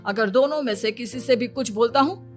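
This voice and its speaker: A woman's voice: a little high, fairly quick, faint music behind, natural voice. A woman voicing an exasperated, long-suffering young husband in a cartoon story, with plaintive delivery. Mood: glum. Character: exasperated young husband caught between mother and wife. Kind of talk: Hindi cartoon moral story dialogue.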